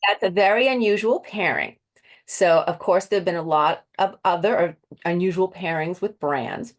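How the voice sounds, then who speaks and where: A woman's voice: fairly low pitch, moderate pace. A woman with a Boston accent interviewing a guest, chatty and laughing often, often restarting her sentences. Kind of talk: casual interview with a quiz.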